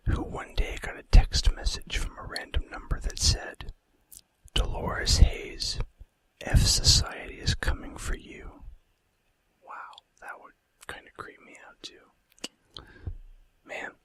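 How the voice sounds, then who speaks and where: A man hosting an ASMR video, narrating in a breathy, unvoiced whisper with a hushed, deliberate delivery and soft casual asides. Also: extremely high pitch, poor audio.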